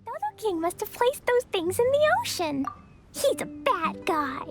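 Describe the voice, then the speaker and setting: Very high-voiced, earnest little girl. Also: very animated, measured pace, exaggerated voice. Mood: determined. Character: little girl adventurer, earnest, brave and innocent. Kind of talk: animated video game voice acting. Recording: faint music behind.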